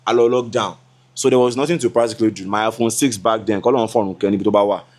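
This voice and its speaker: A man's voice: lowish voice, steady tone, quick pace. A man in his twenties with a Nigerian accent talks casually to camera as a content creator, chatty and laughing, with Yoruba asides. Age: 20s. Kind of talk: casual vlog talk to camera.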